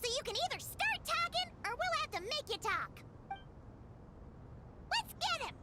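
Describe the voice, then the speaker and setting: A woman voicing a tiny, excitable, bossy fairy companion with a squeaky, childlike voice in a video game. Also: moderate pace, very noisy. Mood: indignant. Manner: exclamatory, dramatic, refers to self by name. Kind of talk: animated video game voice acting. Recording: game music under the voice.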